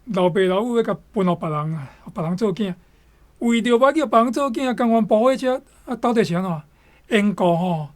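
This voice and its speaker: A woman's voice: mid-range voice, expressive, a little fast, darkish tone. A Taiwanese-accented woman with a mid-range voice comments on a story. She is emphatic, repeating and rewording her points. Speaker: guest commentator.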